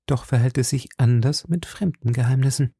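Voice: mid-range voice, normal speed, warm tone, husky, no echo, clean studio sound, pitched above the performer's own voice. A narrator voicing an earnest, anxious young woman with secrets, speaking formally and pleadingly in an audiobook. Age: young adult. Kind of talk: audiobook character dialogue.

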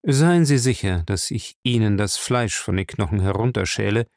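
A man voicing a grim, brutal captain, curt, gruff and threatening, in an audiobook. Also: lowish voice, lively intonation, moderate pace, a little mellow, dry recording, studio quality. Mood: menacing. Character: grim, brutal captain, a menacing male interrogator. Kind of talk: audiobook character acting.

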